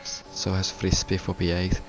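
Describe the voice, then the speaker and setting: A Finnish man commentating a sim race in English, a second language for him, with a halting, stuttering delivery. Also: deep voice, monotone, a little hiss or hum. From Finland. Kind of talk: live sim racing commentary.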